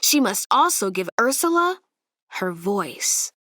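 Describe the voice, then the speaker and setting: A woman narrating a children's storybook aloud in an expressive way, with deliberate, dramatic emphasis. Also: mid-range voice, dry recording, clean studio sound.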